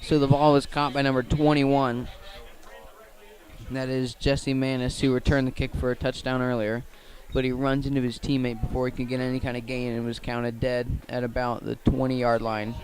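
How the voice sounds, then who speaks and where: A man with an American accent giving live radio play-by-play of a football game, halting at times and correcting himself. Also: medium pitch, flat delivery, darkish tone. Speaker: play-by-play commentator. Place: outdoors at a football field.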